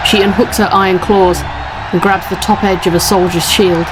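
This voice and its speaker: A woman's voice: medium pitch, fairly even intonation, moderate pace, poor audio. A woman reading scripted narration of a fantasy action story as its narrator. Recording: loud music under the voice.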